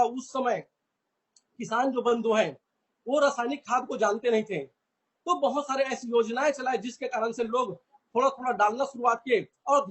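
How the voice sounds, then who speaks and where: A man with a very high, husky voice gives an earnest product training talk. He speaks to his listeners as friends and comes back to the same phrases again and again. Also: a bit bright, poor audio. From India.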